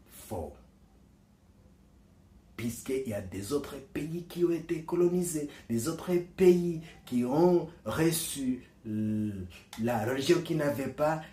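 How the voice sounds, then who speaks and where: A man giving a slow, deliberate reflective monologue, earnest and persuasive, pausing to search for words. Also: mid-range voice, dark tone, roomy sound. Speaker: commentator on religion and culture.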